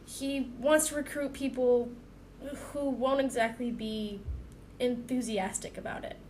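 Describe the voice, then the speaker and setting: A woman voicing an anxious, principled young male superhero in an audio drama, halting and earnest. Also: a little high, fairly even intonation, darkish tone, close to an everyday voice, low-quality recording. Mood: flustered, troubled. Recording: clear background noise.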